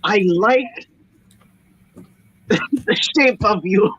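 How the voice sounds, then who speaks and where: Man with a lively, dull-sounding voice. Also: high-pitched, very slow. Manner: wry, sarcastic quips. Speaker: player. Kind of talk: casual chat at a tabletop game.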